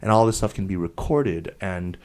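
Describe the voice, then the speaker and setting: A man's voice: low-pitched, moderate pace, a little mellow. A man hosting a podcast, chatting casually in a wordy, digressive way with an erudite vocabulary. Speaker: podcast host. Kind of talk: casual panel chat.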